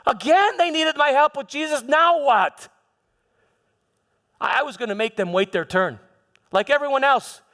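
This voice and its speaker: A man's voice: very high-pitched, normal speed, pauses often, sharp tone. A man performing a troubled Roman governor's first-person monologue, telling the story with emphatic repetition in a large hall. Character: troubled, self-justifying Roman governor Pontius Pilate recounting events. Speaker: church dramatization performer. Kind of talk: dramatic first-person monologue before a congregation. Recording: long hall reverb.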